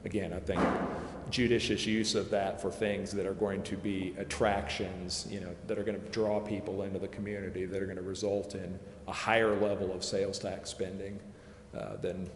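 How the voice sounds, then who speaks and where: A man explaining funding options to a city committee, pausing and restarting often. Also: sits low, darkish tone, slight background noise. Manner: hesitant, many restarts and fillers. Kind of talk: public meeting explanation. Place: city council chamber. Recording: echoey council chamber from far off.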